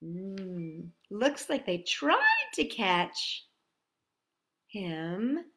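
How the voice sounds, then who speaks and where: A woman's voice: medium pitch, wildly swooping pitch, drawn-out words, pushed and bright, echoey room. A woman hosting storytime for young children, reading a picture book expressively and addressing the children directly with questions. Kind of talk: picture-book read-aloud for young children with asides and sing-song greetings.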